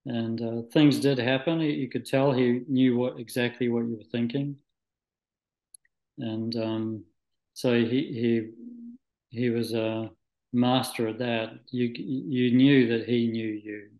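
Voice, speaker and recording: A man's voice: mid-range voice, fairly even intonation, highs cut off. Thoughtful man in an echoey room. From Scotland, moved to New Zealand at nine. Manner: halting, thoughtful, searching for words. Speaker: interview guest, spiritual practitioner. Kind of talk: reflective, conversational interview answers.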